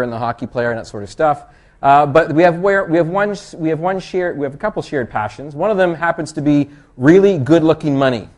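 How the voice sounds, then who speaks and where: Canadian-accented male presenter, fairly high-pitched, chatty and self-deprecating, opens a tech session with jokes. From Canada, East Coast. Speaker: conference presenter. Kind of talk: joking conference talk.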